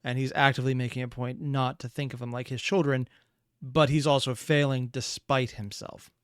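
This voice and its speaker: A man's voice: mid-range voice, little pitch movement, normal speed, a bit bright, clean tone, dry recording, studio quality. A man hosting a reaction video, talking casually in a rambling, analytical way.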